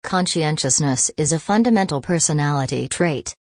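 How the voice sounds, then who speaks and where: A woman reading short academic passages aloud from a script in a measured, formal manner. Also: sits low, lively intonation, a little mellow, dry recording, clean studio sound. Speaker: reader of exam practice texts.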